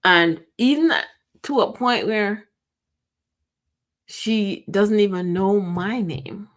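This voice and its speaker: A middle-aged woman with a Jamaican accent, hosting her own podcast and speaking in a personal, reflective monologue. She talks emotionally, pauses often, restarts her sentences and lets some of them trail off. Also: medium pitch. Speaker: podcast host.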